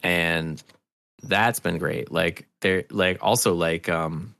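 Low, gravelly man chatting casually. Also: fairly even intonation, slow, fairly bright tone, no room sound. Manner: loose, enthusiastic, freely profane. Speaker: podcast guest, audio drama co-creator. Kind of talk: casual interview chat.

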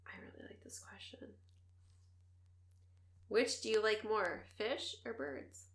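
A woman's voice: medium pitch, lively intonation, slow, a bit bright, roomy sound, whispered. A woman with a Wisconsin accent, tipsy and chatting loosely as a podcast co-host, rambling and swearing freely. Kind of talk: casual chat.

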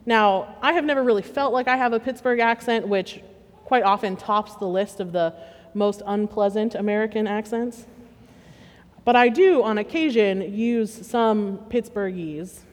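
Lady preacher from western pa, easygoing and a bit funny, big echoey church sound. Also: medium pitch. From Western Pennsylvania. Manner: measured, plainspoken and gently humorous. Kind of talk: sermon with scripture reading. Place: church sanctuary. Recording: long reverb of a church sanctuary.

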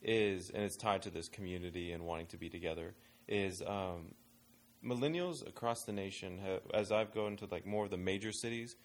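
A man with a fairly low voice talks in a loose, searching way and often restarts his sentences. He speaks as a guest on a casual panel discussion. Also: fairly quick. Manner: thoughtful, rambling with restarts. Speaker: ministry worker advising pastors on young adults.

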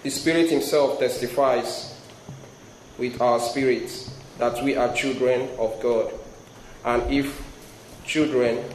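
A man with a Nigerian accent preaches to a youth gathering, halting often on ums and false starts. His voice sits at an ordinary pitch but stays fairly flat in melody. The sound carries a roomy echo with clear background noise. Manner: hesitant, frequent ums and restarts. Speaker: guest speaker. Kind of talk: sermon with scripture reading and prayer. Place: large hall before a youth crowd. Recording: echoey room with noise.

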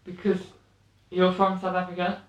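A man's voice: sits high, monotone, normal speed, echoey room, recorded from a distance, low-quality recording. A man hosting a podcast, asking short questions and prompting his guest. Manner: brief prompting questions and murmured backchannel. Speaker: podcast host. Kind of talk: interviewing.

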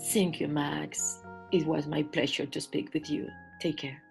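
Woman, non-native English speaker, slow, impassioned, interview guest. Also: low voice, flat delivery, vocal fry, over music. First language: not English. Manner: slow, impassioned, with rising emphasis. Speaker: artist and professor, interview guest. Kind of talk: reflective spoken essay and poetry reading.

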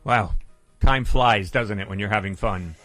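A man's voice: on the low side, measured pace, fairly bright tone, no echo, soft background music. A man in his fifties hosting a pet call-in radio show, chatty and jokey, also reading his own ad. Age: 50s. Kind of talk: radio call-in talk and read ad.

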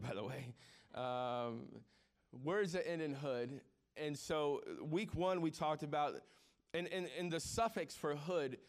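Joking, conversational pastor in his forties. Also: on the high side. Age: 40s. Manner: repeats words on restarts. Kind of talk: sermon.

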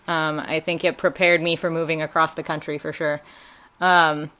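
A woman's voice: low-pitched, flat delivery, normal speed, sharp tone. A young woman with a Wisconsin accent, chatting casually as a podcast guest and rambling with lots of fillers and laughs. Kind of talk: casual chat.

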